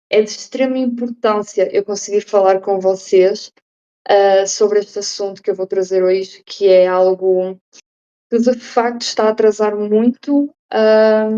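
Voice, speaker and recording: A woman with a European Portuguese accent, raised in Portugal, giving an online talk slowly and deliberately, with many fillers. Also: mid-range voice, warm tone, highs cut off. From Brazil, raised in Portugal. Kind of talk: live online presentation.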